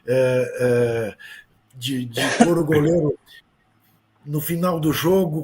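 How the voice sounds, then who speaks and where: A Brazilian man hosting a sports debate, talking in a measured, conversational way and calmly correcting a co-host. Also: a little high. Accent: Brazilian Portuguese. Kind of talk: studio debate moderation.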